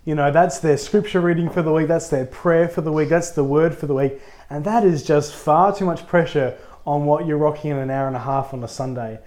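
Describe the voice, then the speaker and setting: A man who is a pastor, co-hosting a casual podcast, a little husky from a cold, upbeat and chatty. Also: on the high side, steady tone, brisk, darkish tone. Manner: upbeat, chatty, laughs easily.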